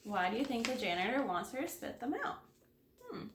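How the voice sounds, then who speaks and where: A woman with a fairly high voice reads a children's story aloud. Her delivery is expressive, like a kindergarten teacher's, and the recording has plenty of room echo. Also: a little fast, noisy background. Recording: echoey room.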